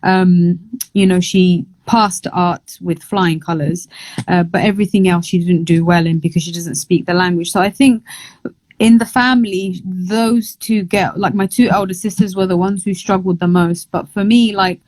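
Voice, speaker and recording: A woman's voice: fairly low pitch, fairly even intonation, normal speed, a little mellow, no room sound, poor audio. Chatty woman, dry close sound. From Somalia, raised in London. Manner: chatty, lots of "like" fillers, laughs easily. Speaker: boxer, interview guest. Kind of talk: casual interview chat.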